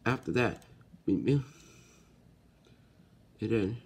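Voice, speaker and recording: A man giving a casual software tutorial step by step, haltingly and correcting himself as he goes. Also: fairly low pitch, lively intonation, vocal fry, breathy edge. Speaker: tutorial host. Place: indoors at a computer. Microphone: handheld camera or phone filming the screen. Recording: noisy handheld recording with computer clicks.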